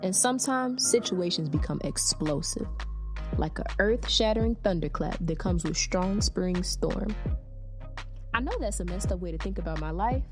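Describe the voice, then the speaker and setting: A woman's voice: fairly low pitch, animated, normal speed, over music, lightly acted. A woman playing an aggrieved teenage girl who tells her troubles in a confiding, dramatic way, as in a young adult audiobook. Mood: confiding, troubled. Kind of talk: first-person young adult audiobook narration.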